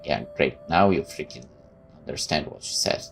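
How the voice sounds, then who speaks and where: A man's voice: very deep voice, animated, moderate pace, vocal fry, noisy background. A man hosting a let's play, reading game text aloud expressively and adding casual reactions.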